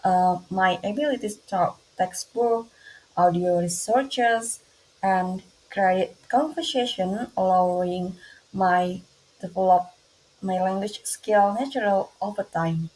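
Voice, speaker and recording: A young woman with an Indonesian accent, reading her class presentation aloud slowly and carefully, with small grammar slips. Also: mid-range voice, a little mellow, poor audio. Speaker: student presenter.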